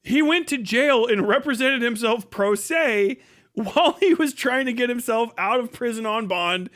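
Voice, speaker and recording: A man's voice: extremely high pitch, animated, normal speed, a bit bright. A man giving casual livestream commentary in a blunt, profane, rambling way. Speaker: livestream host.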